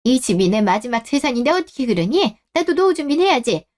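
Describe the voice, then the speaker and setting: A woman plays an older mother-in-law in a radio drama, her voice pitched high and shrill. She is grasping and domineering, and she scolds and accuses in a sharp, pushy way. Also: very animated, fast talker. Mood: defensive. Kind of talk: Korean radio drama acting.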